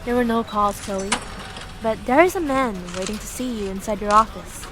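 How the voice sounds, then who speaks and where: A woman's voice: a little high, normal speed, background noise, lightly acted. A woman acting a deferential, apologetic shop assistant in an audio drama.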